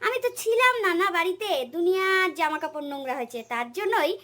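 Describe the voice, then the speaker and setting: Woman voicing a shrill, grumbling cartoon housewife. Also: sing-song, fast talker, bright, forceful tone, exaggerated voice. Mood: exasperated grumbling. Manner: complaining, chatty. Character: harried village housewife and mother, grumbling neighbour. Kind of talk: cartoon comedy dialogue.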